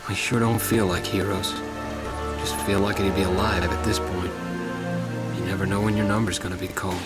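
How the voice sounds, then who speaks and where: A man's voice: deep voice, little pitch movement, fairly quick, over loud music, close to an everyday voice. An actor playing a war-weary young American Marine, narrating his campaign in a subdued, plainspoken, reflective way. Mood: weary, somber. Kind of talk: scripted first-person narration.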